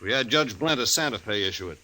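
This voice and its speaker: An actor playing a prickly, self-righteous cattlemen's agent, stuffy and insistent, in an old-time radio western. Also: male voice, fairly low pitch, expressive, quick pace, pushed and bright, full character voice, poor audio. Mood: officious, flustered. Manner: insistent, stuffy, flustered when challenged.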